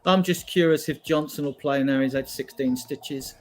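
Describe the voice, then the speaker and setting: A man's voice: mid-range voice, normal speed, soft background music. A man on a casual podcast panel, speaking tersely and drily in short remarks over a video call. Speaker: panel guest.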